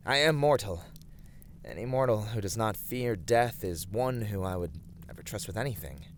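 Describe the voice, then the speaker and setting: Low-voiced, earnest fantasy adventurer acted by a man. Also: lively intonation, moderate pace, lightly acted. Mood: somber. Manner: formal old-fashioned phrasing, thoughtful, hesitant. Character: earnest, courteous young fantasy adventurer from a tavern family. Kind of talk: ASMR fantasy roleplay acting.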